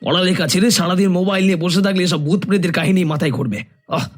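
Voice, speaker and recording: A man's voice: high voice, fast talker, soft background music. A man voicing a practical, dismissive middle-aged village father in a horror cartoon.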